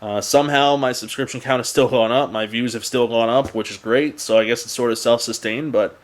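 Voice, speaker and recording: A man's voice: mid-range voice, steady tone, a little fast. A young adult man hosting a car channel, talking in a casual, rambling, unscripted vlog style to his viewers.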